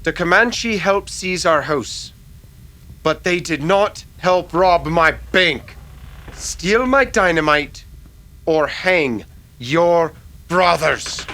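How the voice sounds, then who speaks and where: Man acting a slow, forceful western crime boss. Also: sits high, pitched above the performer's own voice, exaggerated voice. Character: menacing Old West crime boss, furious and controlling. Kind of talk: old-time western radio drama.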